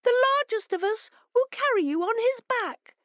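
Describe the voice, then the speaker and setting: A woman voicing a shoal of small, frightened fish in a high, hesitant voice. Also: animated, normal speed, a bit bright, no room sound, clean studio sound. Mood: anxious. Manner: hesitant and flustered.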